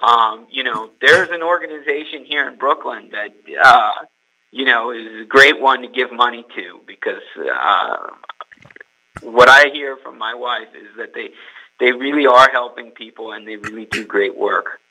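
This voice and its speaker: A man's voice: medium pitch, animated, measured pace, bright, forceful tone, rough recording. A young man from California, a guest on a podcast, who talks hesitantly and repeats words. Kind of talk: casual podcast interview.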